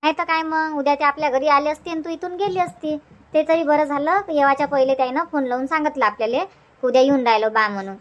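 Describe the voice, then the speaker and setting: A woman playing a chatty, bossy yet easygoing village mother in a cartoon comedy, with a Varhadi Marathi accent and drawn-out, repetitive talk. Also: high-pitched, lightly acted. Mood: explaining. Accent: Varhadi Marathi (Vidarbha). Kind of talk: homemade cartoon comedy dub.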